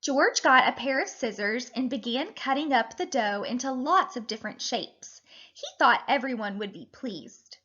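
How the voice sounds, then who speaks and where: A woman in her thirties, a teacher reading and talking to children in an animated, deliberate way. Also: a little high, lo-fi sound. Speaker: teacher reading a picture book aloud to children. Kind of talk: expressive children's read-aloud and lesson.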